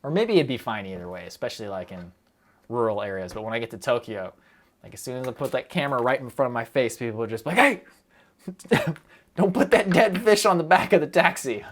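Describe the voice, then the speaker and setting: Young guy streaming, talks fast and rambles, keeps cutting himself off, lots of likes and ums. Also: mid-range voice, animated, slight background noise. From United States. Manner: rambling, quick, self-interrupting with fillers and laughs. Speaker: streamer answering chat. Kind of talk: casual live stream chat.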